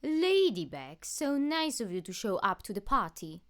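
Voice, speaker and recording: A woman voicing an angry, domineering shadow-blob supervillainess in an audiobook, shouting commands in drawn-out words. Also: on the high side, sing-song, voice pushed up. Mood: mocking.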